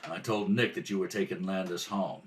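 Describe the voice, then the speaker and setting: A man's voice: sits low, steady tone, brisk, lightly acted. A man playing a tough, scheming 1930s nightclub owner in a radio crime drama, with clipped, slangy wise-guy delivery. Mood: businesslike, conspiratorial. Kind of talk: old-time radio crime drama acting. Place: indoors at a kitchen table.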